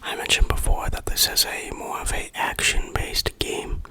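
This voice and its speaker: A person whispering a casual game review in ASMR style. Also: normal speed.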